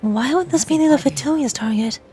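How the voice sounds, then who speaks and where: A focused young game adventurer, acted by a woman, speaking in a high, earnest voice. The delivery is measured and serious, with faint music underneath. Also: dark tone, natural voice. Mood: explanatory. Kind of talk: video game voice acting.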